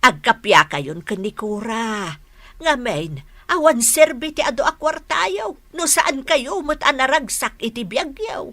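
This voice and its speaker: An actress playing a kindly elder neighbor in an Ilocano radio drama, earnestly coaxing and admonishing people to reconcile. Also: female voice, high-pitched, very animated, unhurried, pushed and bright, dry recording, full character voice.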